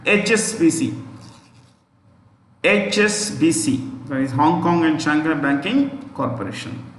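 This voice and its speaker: A man's voice: a little high, animated, unhurried. A male exam coaching teacher lecturing a class, spelling words letter by letter and repeating each point. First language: not Hindi. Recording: echoey room with long reverb.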